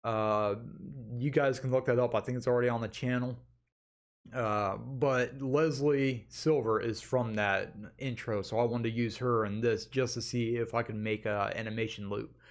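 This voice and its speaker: Guy animator doing a casual channel update, average pitch, rambles along informally to the viewers. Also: fairly even intonation, moderate pace. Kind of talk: casual update vlog, talking through screen.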